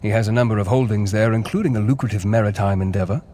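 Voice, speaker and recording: A man's voice: lowish voice, fast, dark tone, slight background noise, natural voice. An actor playing a learned male scholar who gives orders in a formal, curt and impatient way. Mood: instructive.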